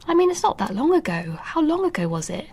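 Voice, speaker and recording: An actress playing a middle-aged wife and mother in a radio drama, earnest and anxious, thinking aloud in a soft voice. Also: high-pitched, very animated, fairly quick.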